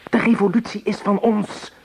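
An actor playing a fervent young revolutionary fighter in a Dutch radio play, impassioned and urgent. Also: male voice, high-pitched, little pitch movement, moderate pace, slightly rough. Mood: fervent, pleading. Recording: noisy old radio drama recording.

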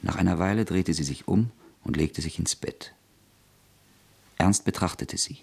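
A male narrator of a classic radio play, delivering a measured, even reading. His low voice has a husky, gravelly texture.

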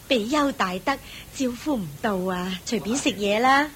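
A woman's voice: on the high side, animated, moderate pace, slight background noise, lightly acted. An actress playing a courteous, admiring woman of the household in a radio play. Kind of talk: Buddhist radio drama acting.

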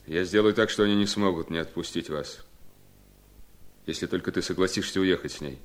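An actor playing an ailing, gentle but determined wealthy gentleman in a radio play. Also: deep voice, brisk, lightly acted. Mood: quiet, resolute. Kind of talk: radio play acting in Russian. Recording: 1970s studio radio drama.

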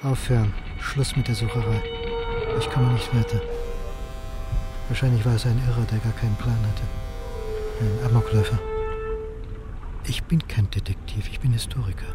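A man's voice: mid-range voice, steady tone, moderate pace, long pauses, loud music behind the voice, lightly acted. An actor playing a brooding, indignant historian in a radio crime drama, terse and insistent as he presses for answers. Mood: brooding, weary.

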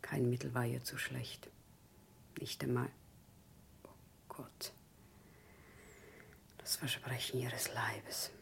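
An actress playing a proud, wounded wife hailed as a saint in a German radio play, with intense theatrical delivery. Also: female voice, a bass voice, level tone, takes it slowly, pauses often, in a whisper, exaggerated voice. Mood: hushed despair. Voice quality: husky, breathy.

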